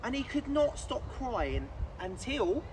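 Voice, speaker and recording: Children's storyteller: man, twenties, expressive and projected, lively swings in pitch, outdoor recording with background noise. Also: slow pace. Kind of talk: animated picture-book read-aloud for children. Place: outdoors in woods, standing.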